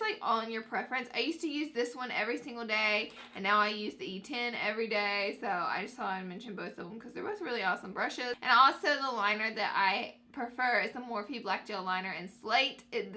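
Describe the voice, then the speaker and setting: Young woman beauty vlogger, congested and nasal, upbeat chatty tutorial delivery, crisp bright tone. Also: mid-range voice, a little fast, few pauses. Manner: upbeat, addresses viewers as guys. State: stuffy nose from allergies.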